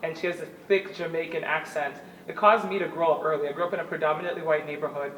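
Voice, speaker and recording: A woman in her thirties from New York, telling her life story in an earnest, animated way as an interview guest. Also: sits low, fast, slight background noise. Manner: animated, laughs easily, earnest. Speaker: guest, former Olympic shot putter. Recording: roomy, distant mic, faint ambience.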